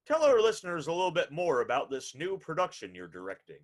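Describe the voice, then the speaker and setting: A man's voice: fairly high pitch, wildly swooping pitch, fast talker. A man hosting a radio hour, with a formal announcer's delivery for introductions and interview questions.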